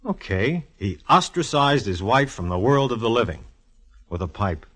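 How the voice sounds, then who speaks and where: An actor playing a smart, teasing police inspector in a vintage radio detective drama, with slangy, deadpan banter. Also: male voice, medium pitch, normal speed. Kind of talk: 1940s radio detective acting.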